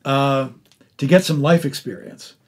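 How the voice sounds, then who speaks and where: A middle-aged man hosting an interview in a casual, effusive way, rambling and halting as he talks about the arts. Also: mid-range voice, fairly even intonation. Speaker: podcast host interviewing an actor. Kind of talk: casual, enthusiastic arts conversation.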